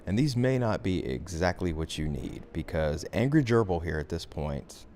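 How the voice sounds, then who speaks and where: A man giving a software tutorial as instructor, talking through the steps in an informal, conversational way. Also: deep voice, expressive, normal speed, a little hiss or hum.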